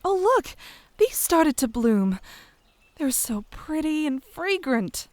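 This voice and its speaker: A woman's voice: sits high, very animated, slow, a little mellow, no echo, exaggerated voice. A woman playing a curious, open young druid princess in a fantasy audio drama. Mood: delighted. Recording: clear background hiss.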